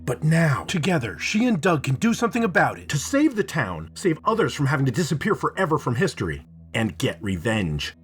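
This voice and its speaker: A man reviewing a video game in scripted narration, dramatic and playful, with emphatic phrasing and drawn-out words. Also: a little high, huge pitch swings, fairly bright tone.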